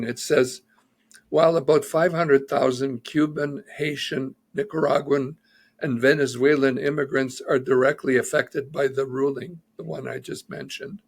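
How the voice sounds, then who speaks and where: A man's voice: mid-range voice, breathy edge. A man who is an immigration lawyer, methodically explaining legal news in an interview and citing figures and reports.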